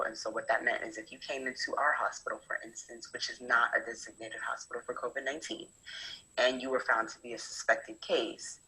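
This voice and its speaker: A woman's voice: rumbling low, pushed and bright, poor audio. A young adult woman from New Jersey, a medical student intern, methodically explaining a hospital's procedures with frequent fillers. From New Jersey, USA. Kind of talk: prepared talk from experience.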